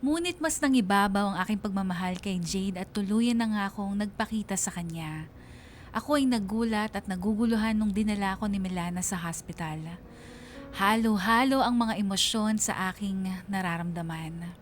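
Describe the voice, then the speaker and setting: A woman's voice: mid-range voice, moderate pace, faint music behind, natural voice. A woman playing a heartbroken young woman, narrating her love story earnestly in a radio drama. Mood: wistful, emotional. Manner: earnest, sentimental.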